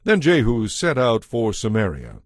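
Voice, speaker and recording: A man's voice: medium pitch, huge pitch swings, normal speed, dry recording, studio quality. A man giving scripted narration for a dramatized audio Bible.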